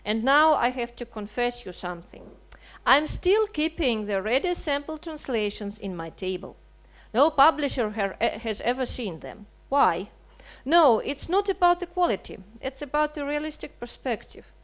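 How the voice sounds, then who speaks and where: A woman's voice: high-pitched, big pitch swings. Woman reading a statement. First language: Russian. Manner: measured, earnest, with rhetorical questions. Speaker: writer and translator. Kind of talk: reading a prepared seminar statement. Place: seminar hall.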